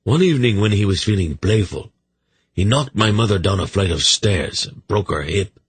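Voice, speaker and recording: A man's voice: on the low side, lively intonation, normal speed, husky, no room sound, pitched above the performer's own voice. A man voicing a sardonic, plaintive young king with a mocking, theatrical manner in a fantasy audiobook. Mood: bitterly mocking.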